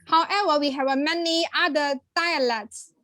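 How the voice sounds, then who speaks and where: A woman from China, a native Chinese speaker, talking in English in a casual group chat, halting and searching for words with many fillers. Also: sits high, sharp tone, dry recording, clean studio sound. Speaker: participant.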